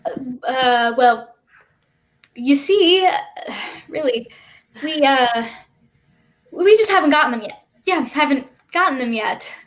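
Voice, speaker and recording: A woman playing a defiant, quick-witted child spacewalker in a theatrical script reading, flustered yet argumentative. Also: sits high, expressive, fairly bright tone, heavily acted. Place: remote online stream.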